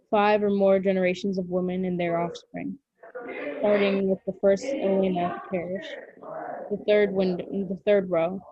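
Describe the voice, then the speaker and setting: A woman reading scripted stage directions as narrator of a drama, in short, measured phrases. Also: medium pitch, highs cut off.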